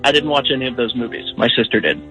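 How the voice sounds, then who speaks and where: A man's voice: medium pitch, rapid-fire, over music, low-quality recording. A man chatting informally on a call to a radio show. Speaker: radio phone-in guest, prank-call target. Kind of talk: casual, informal phone chat.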